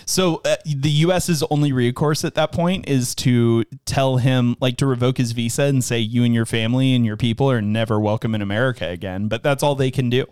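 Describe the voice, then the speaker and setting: A man's voice: medium pitch, fairly quick, hardly any pauses, darkish tone, clean tone, dry recording, studio quality. A man co-hosting a movie podcast who explains things at length, full of hesitant fillers. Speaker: podcast co-host. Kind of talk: casual movie discussion.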